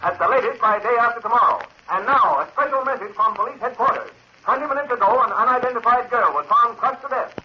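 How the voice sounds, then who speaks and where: An actor playing a grave, urgent news announcer heard through a radio set, in 1940s bulletin style. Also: male voice, extremely high pitch, quick pace. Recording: muffled, hissy 1940s radio recording.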